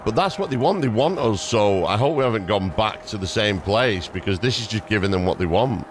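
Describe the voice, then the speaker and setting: Low-voiced man commentating on a game. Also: expressive, fairly quick, a little hiss or hum. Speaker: gaming video host. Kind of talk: casual reactive game commentary. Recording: game music behind the voice.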